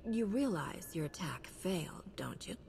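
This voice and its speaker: An actress playing a cool, confident sci-fi hero in a video game, with a dry, self-assured delivery. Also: female voice, on the low side, sing-song, normal speed, vocal fry, a little mellow, long reverb tail. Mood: serious. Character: cool, confident female space hero, dryly wry. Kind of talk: video game cutscene acting.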